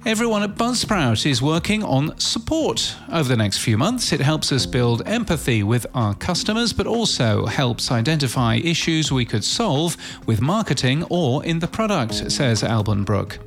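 A man presenting a scripted news bulletin in a crisp headline style with brief dry asides. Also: on the high side, big pitch swings, moderate pace, soft background music.

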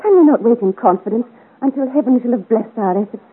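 A woman's voice: fairly high pitch, fast talker, breathy edge, narrow, tinny audio. An actress playing a proud, devoted young noblewoman in a 1950s radio melodrama, speaking earnestly in formal, theatrical period style. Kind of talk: 1950 radio serial romantic melodrama acting. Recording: thin, noisy old 1950s radio recording.

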